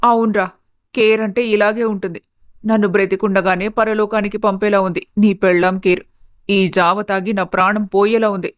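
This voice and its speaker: A woman playing a grumbling, whiny middle-aged mother-in-law in an animated family story. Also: medium pitch, moderate pace, dry recording, clean studio sound, natural voice. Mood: grudging, sulky. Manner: whiny, complaining.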